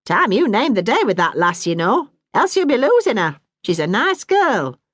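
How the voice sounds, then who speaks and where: A woman voicing a middle-aged mother, bossy but warm-hearted, in a brisk, scolding dialect. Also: on the high side, sing-song, dry recording, clean studio sound, voice pushed up. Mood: fond.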